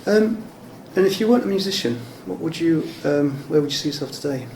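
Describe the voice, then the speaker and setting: A man's voice: fairly high pitch, lively intonation, quick pace, a little mellow. A man chatting casually as an interviewer. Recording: echoey room with clear background noise.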